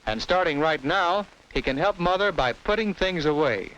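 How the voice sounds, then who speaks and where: Animated 1950s American educational film narrator, man. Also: on the high side, normal speed. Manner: instructive, simple storytelling addressed to children. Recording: noisy vintage 1950s film soundtrack.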